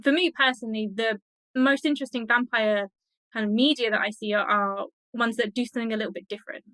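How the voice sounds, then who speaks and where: A woman with a bright, fairly high voice talks as an expert guest in an interview. She explains her ideas thoughtfully and with enthusiasm, in conversational style. The sound is very dry, with no room on it. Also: fairly even intonation, fast talker, no room sound, low-quality recording.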